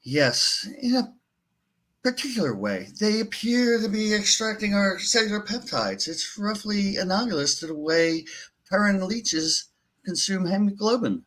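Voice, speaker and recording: A man's voice: high-pitched, lively intonation, measured pace, fairly bright tone, heavily acted, poor audio. A man playing a sober starship doctor in a live radio-theatre reading, explaining findings in a clinical manner. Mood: clinical, grave. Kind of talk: podcast live script reading.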